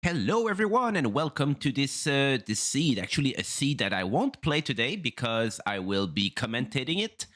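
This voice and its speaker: Halting man streaming. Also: mid-range voice, big pitch swings, fairly bright tone. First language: French. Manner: halting, many fillers and restarts. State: throat still rough after flu. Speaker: streamer and first-time race commentator. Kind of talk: casual livestream talk.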